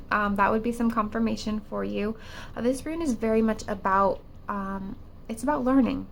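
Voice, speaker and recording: A woman's voice: on the high side, creaky, fairly bright tone, slight background noise. A woman giving a pick-a-card tarot reading, her voice still affected by a cold, musing hesitantly with many fillers and restarts. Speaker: tarot reader.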